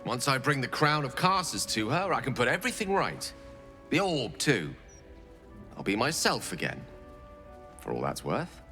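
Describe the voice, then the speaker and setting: An actor playing an earnest, eloquent wizard, articulate and theatrical. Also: male voice, medium pitch, big pitch swings, moderate pace, pauses often, pushed and bright, soft background music. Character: earnest young wizard, eloquent, self-reproaching. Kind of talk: video game voice acting.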